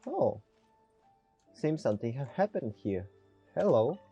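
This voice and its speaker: A man's voice: mid-range voice, big pitch swings, unhurried, mellow, muffled recording, soft background music. A man who is a non-native English speaker, giving casual gameplay commentary, thinking aloud somewhat hesitantly. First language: not English. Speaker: gaming streamer.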